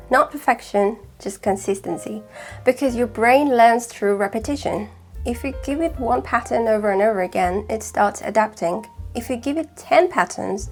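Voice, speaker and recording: A woman whose first language is Farsi, speaking in a British accent with a slight northern English touch, giving calm, measured, scripted explanations as a language-learning host. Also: a little high. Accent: British with slight northern English influence. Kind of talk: scripted talk to camera.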